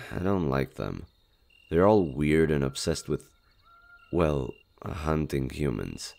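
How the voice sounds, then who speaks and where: A man's voice: rumbling low, a little mellow, heavily acted. A man playing a shy, nervous, friendly skinwalker in human disguise in a roleplay audio drama, hesitant and stammering. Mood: downcast, confiding.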